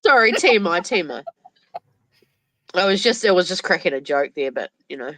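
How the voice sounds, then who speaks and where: A woman with a blocked, stuffy nose hosts a livestream chat. Her voice swings widely in pitch as she jokes and laughs. The sound is dry and close. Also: animated, fairly quick, a bit bright, dry recording. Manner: joking, laughs easily. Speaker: livestream host.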